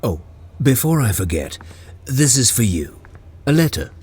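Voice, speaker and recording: A man's voice: medium pitch, very animated, measured pace, a little mellow, husky, exaggerated voice. An actor playing a witty male chamberlain who teases and laughs often. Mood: wry. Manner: teasing, wry, laughs often. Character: male chamberlain, witty courtier, playful and teasing. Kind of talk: audio drama acting.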